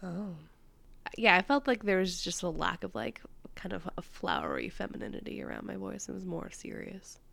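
A woman's voice: mid-range voice, very animated, moderate pace, gravelly, fairly bright tone. A young woman co-hosting a podcast, agreeing easily and often dropping into a near-whisper. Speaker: podcast co-host. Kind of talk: casual chat recapping a sitcom episode.

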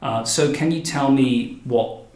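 A man's voice: medium pitch, a little slow, darkish tone. A man hosting a podcast, chatting and interviewing in a conversational style. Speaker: podcast host and director. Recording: roomy echo, faint music.

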